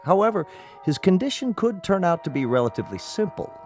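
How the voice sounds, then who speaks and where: An actor in a radio drama playing a gravely polite family doctor who speaks in a deliberate, professorial way. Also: male voice, high voice, big pitch swings, a little mellow, soft background music. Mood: grave, explanatory.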